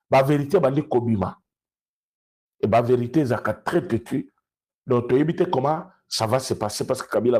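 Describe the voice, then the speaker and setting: A man with a Congolese accent speaks in a fairly high, distinctly husky voice. He gives off-the-cuff political commentary, moving between two languages. He is emphatic and repeats phrases to drive them home. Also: darkish tone.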